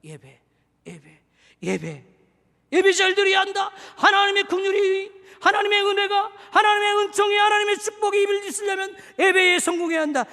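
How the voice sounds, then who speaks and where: A pastor from Seoul in his forties preaching a sermon, swinging from shouted exhortation to hushed, confiding asides. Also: very high voice, brisk. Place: church sanctuary. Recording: reverberant church hall.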